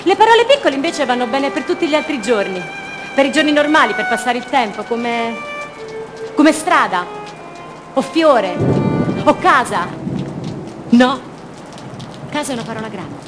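An actress playing a fragile, dreamy young woman in an introspective radio theatre monologue, in short deliberate phrases. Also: high-pitched, lively intonation, music in the background. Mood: pensive.

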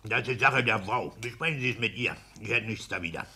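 An actor playing a boastful, bossy petty-bourgeois husband in a German radio play, with a blustering, commanding manner. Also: male voice, on the low side, brisk, pushed and bright. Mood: brisk, businesslike. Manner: blustering, commanding, self-satisfied. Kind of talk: 1960s German radio drama acting. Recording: hissy 1960s radio play recording.

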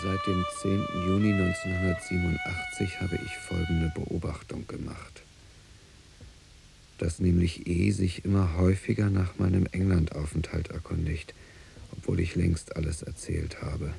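An actor playing a guarded, suspicious husband dictating an informant's report in a radio drama, measured and stiffly formal. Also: male voice, low voice, level tone, vocal fry, a little mellow, soft background music.

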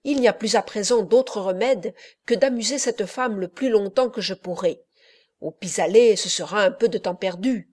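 A woman reading aloud, voicing a worried older peasant mother who mutters hushed asides to herself. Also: on the high side, sing-song, unhurried. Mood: worried whisper.

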